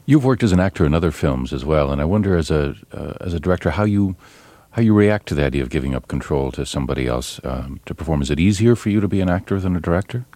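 A man interviewing a guest, asking measured questions with some fillers. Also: low-pitched, expressive, dark tone, no echo.

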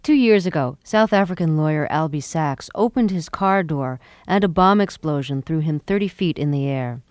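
A woman radio host reading a scripted introduction and then asking interview questions in a measured way. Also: low voice, lively intonation, darkish tone, dry recording.

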